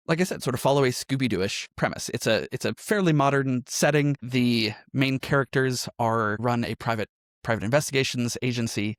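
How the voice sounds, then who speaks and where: A man of medium pitch talks as a guest in an interview. He explains things conversationally, often checking that he is being followed. The recording is dry and free of room echo. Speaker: podcast guest, audio drama creator.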